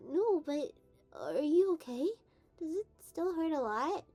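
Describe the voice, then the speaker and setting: A woman voicing a sweet, affectionate, easily worried small child in an animated comic dub, with a tender, childlike manner. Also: high-pitched, unhurried, dry recording.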